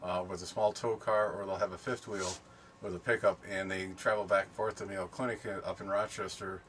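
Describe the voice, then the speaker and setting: A middle-aged man chatting casually and unscripted for a vlog, explaining things in a rambling, halting way. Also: sits low, fairly even intonation, a bit bright. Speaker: camping and ham radio vlogger. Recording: distant mic, background noise.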